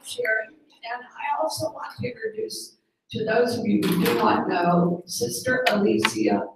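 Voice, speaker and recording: A religious sister hosting a gathering, inviting guests to share stories in a chatty way with filler words. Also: female voice, on the high side, big pitch swings, measured pace, background noise. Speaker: host, sister of the religious community. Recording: echoey room, mic far off.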